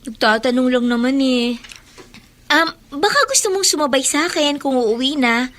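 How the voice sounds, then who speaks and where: A woman with a Filipino accent playing a gentle, hurt young schoolgirl from a poor family in a radio drama, earnest and plaintive. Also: high voice, lively intonation, moderate pace. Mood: timid.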